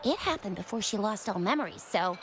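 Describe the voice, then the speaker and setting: A woman playing a perky, teasing, skeptical young female companion in an anime-style video game, expressive and casual. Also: medium pitch, brisk, lightly acted. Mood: curious. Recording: loud game music behind. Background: game music.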